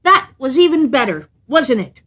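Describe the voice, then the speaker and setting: A woman's voice: sits high, big pitch swings, moderate pace, fairly bright tone, pitched above the performer's own voice, exaggerated voice. A woman voicing a cheerful, boastful little male tailor in a storybook, with a jaunty, emphatic delivery. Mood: cocky.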